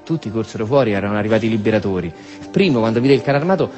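A man's voice: lowish voice, expressive, rapid-fire, a little mellow, highs cut off, soft background music. A man with a Roman accent performing a colloquial theatrical monologue about war, rambling and repetitive, tossing in tag questions. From Rome, Italy. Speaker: monologue storyteller, stage actor.

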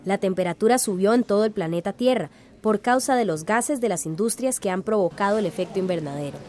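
A woman playing an earnest, well-informed villager in a radio drama, explaining things clearly. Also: medium pitch, fairly quick, dark tone, natural voice. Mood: earnest, explanatory.

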